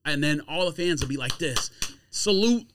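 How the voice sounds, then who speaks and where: A man's voice: a little high, expressive, normal speed, fairly bright tone, slight background noise. A man hosting a casual sports podcast, talking in a blunt, opinionated, slangy way. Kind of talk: casual sports banter and game picks. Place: small room or studio, in person.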